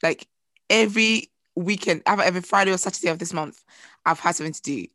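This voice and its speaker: A woman's voice: on the low side, fairly bright tone, dry recording. A young woman chats on a podcast, her voice slightly tired and strained. She laughs a lot and drags out her gripes in an easygoing way. The sound is dry and close. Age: young adult. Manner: laughs often, tired and drawn-out complaints. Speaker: podcast co-host. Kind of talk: casual chat.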